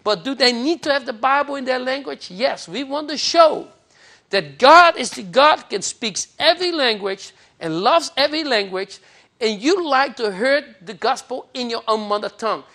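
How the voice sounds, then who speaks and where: A man's voice: very high voice, expressive, normal speed, pushed and bright. A man in his forties from the Netherlands, speaking with a Dutch accent as he preaches an earnest, emphatic sermon in a TV studio on a lapel mic. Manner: earnest and emphatic, gesturing with both hands. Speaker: pastor. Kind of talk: televised sermon teaching. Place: TV studio set.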